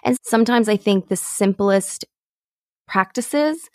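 American woman co-host, reflective and thoughtful, dry close recording, mid pitch. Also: slow pace, a little mellow, dry recording. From United States. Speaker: podcast co-host, sustainability writer. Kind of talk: casual chat.

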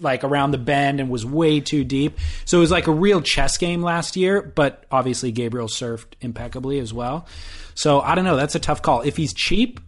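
A man co-hosting a sports podcast, chatting casually in slang and giving blunt opinions. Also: medium pitch. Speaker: co-host of a sports talk podcast. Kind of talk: casual opinionated chat about pro surfing.

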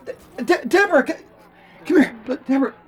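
Man as stammering, high-voiced nervous radio announcer. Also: lively intonation, fairly quick. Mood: panicked. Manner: stammering, hesitant, fretful. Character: nervous, flustered public radio announcer under siege. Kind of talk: horror radio drama acting.

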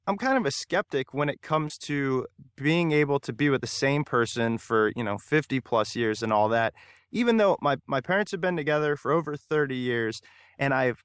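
A man's voice: mid-range voice, clear, resonant tone, dry recording, clean studio sound. A young adult man hosting a podcast, asking casual, thoughtful questions with personal asides. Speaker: podcast host. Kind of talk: interview questions.

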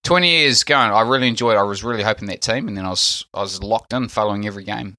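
A man with a New Zealand accent tells a story in a casual podcast chat, hesitant and full of fillers. His voice is low yet bright. The sound is dry and close. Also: quick pace, no room sound. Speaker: podcast guest.

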